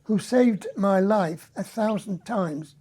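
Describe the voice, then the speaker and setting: A woman with a husky voice giving a quiet, grave first-person reading of a testimony. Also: mid-range voice, slow. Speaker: reader of a survivor's testimony. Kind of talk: read testimony.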